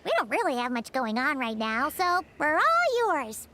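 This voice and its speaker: A woman voicing a small, chirpy and eager floating female companion in a game, with a high, squeaky, childlike voice. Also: sing-song, moderate pace, heavy background noise. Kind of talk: game character dialogue.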